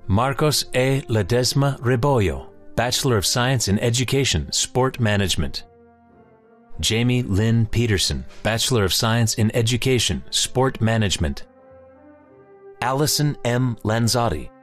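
A man steadily reads out graduates' names and degrees, one after another, in a formal announcing manner. His voice sits at an ordinary middle pitch, and soft instrumental music plays quietly behind him. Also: animated, unhurried. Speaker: name reader.

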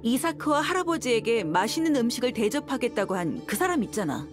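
A woman's voice: on the high side, big pitch swings, a little fast. An actress voicing a confident, shrewd young female desert mercenary in a video game, cool and teasing in manner. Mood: confident explaining. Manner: cool, teasing, self-assured. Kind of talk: video game voice acting, story dialogue. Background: background music.

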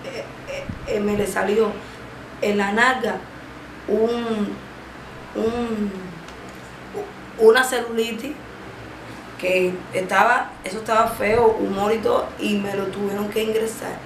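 A young woman in her twenties with a Cuban accent, speaking haltingly with a stammer and many drawn-out fillers while giving personal testimony in an interview. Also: medium pitch, fairly even intonation. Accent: Cuban Spanish. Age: 20s. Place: small indoor room, seated. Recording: echoey room with background noise.

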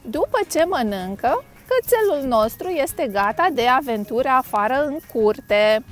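A middle-aged woman hosts an outdoor storytime. Her voice sits high and swings widely in pitch as she reads simply and playfully for small children, with some music in the recording. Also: sing-song. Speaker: storytime host. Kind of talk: animated children's picture-book read-aloud. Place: outdoors on a grassy lakeside bank.

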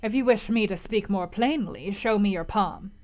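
A woman's voice: medium pitch, moderate pace, darkish tone, lo-fi sound, close to an everyday voice. A woman doing a harsh old fortune-teller crone. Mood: knowing. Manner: bold, decided, mocking.

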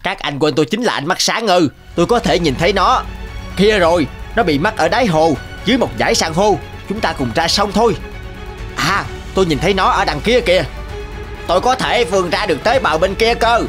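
A man's voice: high-pitched, expressive, fairly quick, a bit bright, soft background music. A man voicing odd, loyal servants with strange powers in a fairy-tale cartoon, good-natured and eager. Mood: confident. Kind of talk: animated fairy-tale dubbing.

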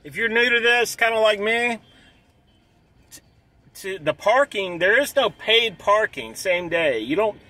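A man in his forties vlogging from inside a car, talking excitedly in a casual, repetitive way and clearing his throat often. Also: sits high, a little slow, pauses often, dry recording. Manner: hyped, repeats himself, clears throat often. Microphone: dashboard camera close up.

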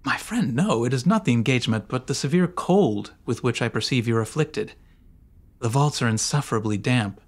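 A man's voice: mid-range voice, lively intonation, normal speed, lightly acted. A man voicing a polite, falsely friendly nobleman who is slyly solicitous, in an audiobook horror story. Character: smooth, falsely friendly nobleman plotting revenge.

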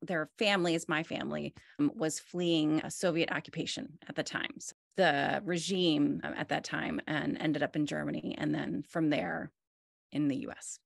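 Woman, 40s, low and a bit gravelly, dry close video-call sound; relaxed, thoughtful interviewee. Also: normal speed, no echo. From United States. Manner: relaxed, thoughtful, many fillers and restarts. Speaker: artist. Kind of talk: conversational interview. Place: home room with wood-panelled wall.

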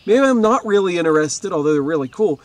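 A man in his forties with a high-pitched voice teaches young children by reading aloud. His delivery is expressive and explanatory, as a schoolteacher's would be. He speaks outdoors, a few steps from the camera. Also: fast. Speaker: fourth-grade teacher. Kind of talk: read-aloud lesson for children, with asides. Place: outdoors beside a wetland lake.

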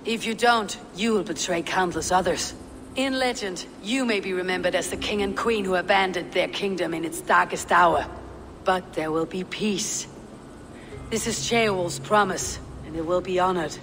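Low-voiced, stern Viking warrior woman. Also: fairly bright tone, a little hiss or hum. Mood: solemn persuasion. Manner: measured, firm, coolly menacing. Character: stern, composed female Viking warrior leader. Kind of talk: video game dramatic acting.

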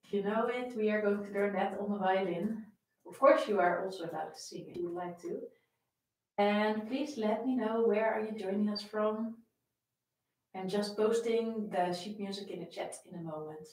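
A woman's voice: mid-range voice, normal speed, very echoey, recorded from a distance, low-quality recording. A young woman with a Dutch accent, a violin teacher chatting and greeting viewers by name before a live-stream lesson from her home. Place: home room with framed pictures, indoors.

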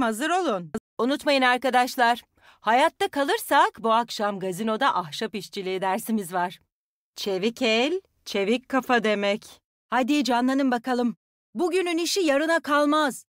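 A woman playing a tough, bossy young soldier who commands animals in a video game, with a brisk, commanding, teasing delivery. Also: high-pitched, dry recording, studio quality. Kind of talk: video game character voice lines.

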